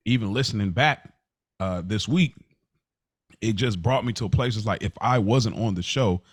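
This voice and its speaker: Animated emphatic male podcast co-host. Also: lowish voice, moderate pace, husky, no echo, studio quality. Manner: animated, emphatic, talks in bursts. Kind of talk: casual podcast chat.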